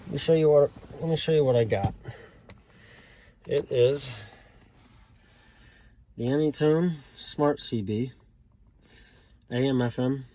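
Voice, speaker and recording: An American man giving a casual, unscripted walkthrough in a low-key, halting way, as if thinking aloud. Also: mellow. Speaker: hobbyist showing a CB radio install. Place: inside a parked car.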